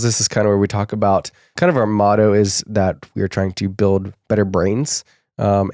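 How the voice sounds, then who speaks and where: A young man with a low, slightly husky voice, sick with a cold, chatting loosely as a podcast co-host. He rambles with plenty of fillers. The sound is close and dry, with no room echo. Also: darkish tone. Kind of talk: casual podcast chat.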